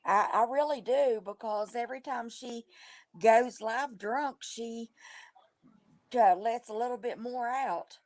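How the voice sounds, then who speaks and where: Hoarse, slow-talking woman. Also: fairly high pitch, no room sound. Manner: slow, halting, with fillers and restarts. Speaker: livestream guest. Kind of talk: casual chat.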